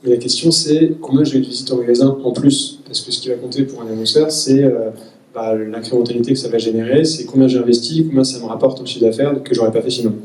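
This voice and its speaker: A man speaking in a measured way with many fillers on a round-table panel. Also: medium pitch, fairly even intonation, darkish tone. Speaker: panelist, company executive. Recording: echoey room, far from the mic.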